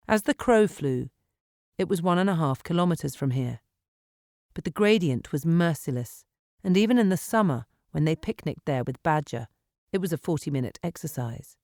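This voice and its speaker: A woman audiobook narrator reading dramatic fiction in an expressive, measured storytelling manner in a studio. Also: deep voice, dry recording. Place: dry recording studio.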